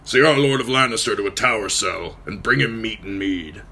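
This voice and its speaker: A man voicing a gruff, barrel-chested lord in an audiobook, speaking formally. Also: on the low side, fairly quick, pushed and bright, voice pushed up, heavily acted. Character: gruff massive middle-aged lord, stiff.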